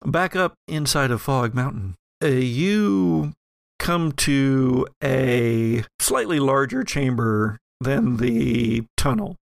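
A man's voice: medium pitch, animated, takes it slowly, creaky, dry recording, studio quality. A man narrating a horror role-playing audio drama as game master, with descriptive, suspenseful storytelling.

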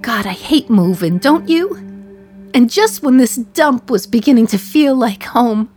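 An actress playing a condemned woman in her thirties, speaking slowly and reflectively with a wry edge. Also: fairly high pitch, expressive, darkish tone, faint music behind. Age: 30s. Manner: slow reflective delivery, wry humor. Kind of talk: radio play monologue.

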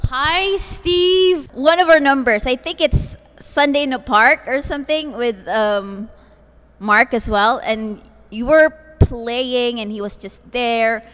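A woman guest chats in a loose, storytelling way, pausing often with "like". Her voice sits a little high for a woman and carries a long reverb from the hall. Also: fairly bright tone. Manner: chatty, hesitant with "like". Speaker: guest, alumna. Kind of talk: casual interview chat.

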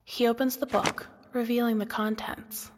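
A woman's voice: a little high, little pitch movement, normal speed, noisy background. A woman narrating a scripted fantasy story in a dramatic storytelling style. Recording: long reverberant hall effect.

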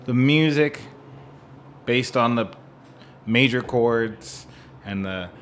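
A man of medium pitch picks apart music in a casual podcast conversation. He meanders informally and keeps tagging on questions for agreement, with a faint layer of noise around him. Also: slow. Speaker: podcast co-host. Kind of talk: casual, analytical music discussion. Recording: faint background room noise.

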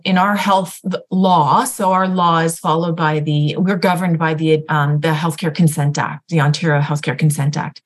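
A woman with a slightly low voice talks through her explanations in a relaxed, chatty way. She fills gaps with small fillers and often restarts her sentences. Her manner is that of a nurse practitioner and ethicist guiding listeners through the subject. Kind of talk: explanatory conversational guest talk.

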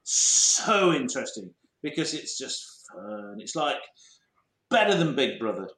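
A man co-hosting a podcast, jokey and animated in casual banter, in an echoey room. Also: mid-range voice, measured pace. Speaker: podcast co-host.

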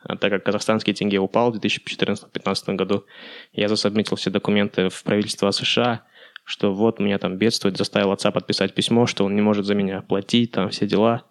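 A man's voice: on the low side, flat delivery, fast. A young man hosting his own podcast, talking casually and loosely with many fillers and English words mixed in. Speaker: podcast host. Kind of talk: casual solo podcast monologue.

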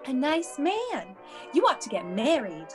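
A woman playing a high, swooping, motherly bureau manager. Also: huge pitch swings, measured pace, faint music behind, full character voice. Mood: motherly, brisk. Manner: chirpy, solicitous, swooping politeness. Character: fussy, motherly woman running a college babysitting bureau. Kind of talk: classic radio play acting.

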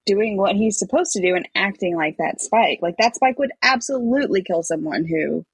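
A woman's voice: medium pitch, moderate pace, husky, no room sound. A woman co-hosting a podcast, chatting casually through a recap. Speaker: podcast co-host.

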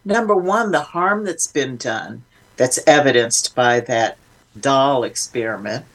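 A woman's voice: extremely low pitch, animated, measured pace, poor audio. Reflective woman, older, being interviewed. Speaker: interview guest, filmmaker and nonprofit founder. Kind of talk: conversational interview.

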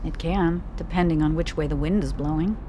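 A cool older woman spy with a dry, teasing way of talking, voiced by a man. Her voice sits well above his own, soft and mellow. Faint hiss sits under it. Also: fairly high pitch, fairly quick, voice pushed up, close to an everyday voice.

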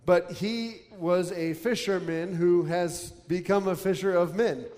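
A man with a fairly high voice introduces the next speaker in a loose, easygoing way. His words trail off into a long church echo. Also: fairly even intonation, unhurried, a little mellow. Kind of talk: casual stage introduction. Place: church sanctuary. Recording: church hall reverb.

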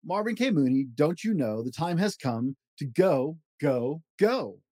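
A man reads a children's storybook aloud in a slightly high, soft-edged mellow voice. His delivery is expressive and rhythmic, leaning playfully on the verse. The recording is close and dry. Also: unhurried, no echo. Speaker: podcast host reading children's books.

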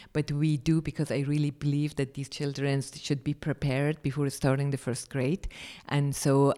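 A man's voice: a little high, mellow. A man, answering interview questions hesitantly and restarting often. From Afghanistan. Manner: hesitant, searching for words, many restarts. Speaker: charity founder, guest.

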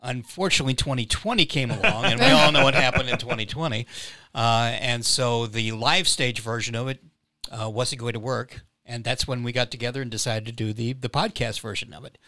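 A man, a playwright guest, recounting a story in a measured way with small fillers. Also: mid-range voice, bright, forceful tone, dry recording. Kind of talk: storytelling in interview.

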